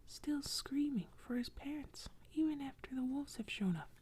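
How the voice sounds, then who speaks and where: A woman telling an ASMR bedtime story in a hushed, breathy whisper, close to the microphone. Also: sits high, expressive, normal speed.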